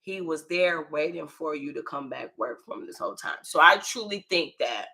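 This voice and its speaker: A woman's voice: sits low, lively intonation, normal speed, creaky, bright, forceful tone. An American woman telling a personal story in a casual, slangy way, swearing freely and acting out what people said. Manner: slangy and profane, acts out quoted dialogue, checks in with the listener.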